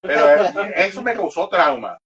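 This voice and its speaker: High-voiced Venezuelan radio host, teasing. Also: male voice, sing-song, normal speed, a crowd in the background. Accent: Venezuelan Spanish. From Venezuela, born in Caracas and raised in Aragua. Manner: teasing, informal. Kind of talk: casual joking chat. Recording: dull, slightly distant radio audio.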